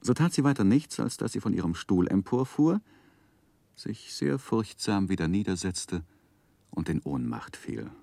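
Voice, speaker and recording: A man recounting his life in a 1960s literary radio play. His voice is low and moves expressively up and down. The delivery is measured and gently wry, recorded close and dry. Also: very animated, vocal fry, dry recording, exaggerated voice. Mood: wry, narrating. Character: wry grown man narrating his own life story. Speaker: narrator. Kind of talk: scripted literary narration in 1960s radio drama.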